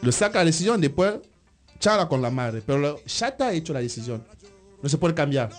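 A man from Cameroon, a radio interview guest who talks in halting restarts and keeps checking that he is understood. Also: fairly high pitch, sing-song, soft background music.

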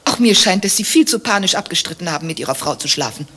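An actress playing a haughty, sardonic wife accused of adultery in a satirical German radio play, mocking and theatrically indignant. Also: female voice, fairly low pitch, animated, quick pace, a bit bright, slightly rough. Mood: wry, evasive.

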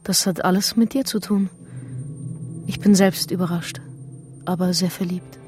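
A woman's voice: fairly low pitch, fairly even intonation, unhurried, a little mellow, soft background music, lightly acted. An actress playing a brash, flirtatious, clingy woman in a radio crime drama, breezy and frank. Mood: insistent, smitten.

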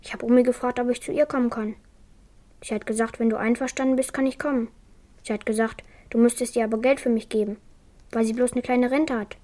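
A woman's voice: fairly high pitch, fairly even intonation, fairly quick, mellow, close to an everyday voice. A female performer playing a defiant, bitter twelve-year-old girl in a radio drama, blunt and stubborn in manner. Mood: determined. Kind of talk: German radio crime drama acting.

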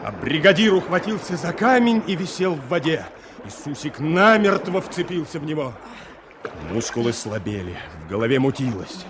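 A man's voice: a little high, big pitch swings, normal speed, noisy background. A man acting as the storyteller of a radio drama, delivering scripted narration with dramatic feeling. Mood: grim, fading. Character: dramatic male storyteller of river adventure.

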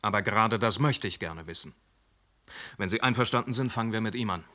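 An actor playing a calm male police inspector who questions witnesses and reasons through a case in a radio drama. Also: lowish voice. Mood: reasoning. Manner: measured, reasoning.

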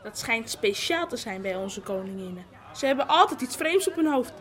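A woman's voice: on the high side, lively intonation, moderate pace, fairly bright tone. A woman playing a proud, shy and polite young village lad in a radio drama, hesitant and deferential. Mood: chatty, amused. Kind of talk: Dutch radio drama acting. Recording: outdoor scene, faint crowd behind.

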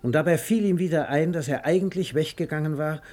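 A man's voice: on the high side, quick pace, darkish tone. A man narrating a literary radio play in a scripted storytelling style.

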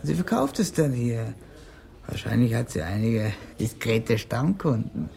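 A worn-down male addict in a radio crime play. His medium-pitched voice has a lot of fry in it, and he talks in a colloquial, guarded way, nerves frayed. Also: animated, measured pace, dark tone. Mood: confiding, matter-of-fact. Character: edgy male drug addict at the end of his tether. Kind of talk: radio crime drama acting.

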